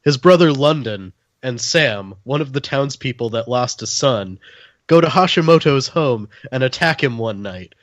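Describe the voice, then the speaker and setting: A man's voice: medium pitch, lively intonation, normal speed, fairly bright tone, no room sound. A man hosting a podcast in casual conversation, recorded dry with a dull, lo-fi sound. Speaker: podcast host. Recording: dull lo-fi close dry mic.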